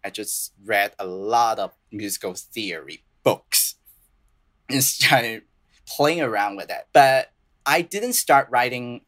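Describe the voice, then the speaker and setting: A young man with a Chinese accent talks in a fairly low voice, earnest and halting, often restarting his sentences. He speaks as a guest in a relaxed podcast interview, with English as his second language. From southern China. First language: Cantonese and Mandarin. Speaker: playwright and composer guest.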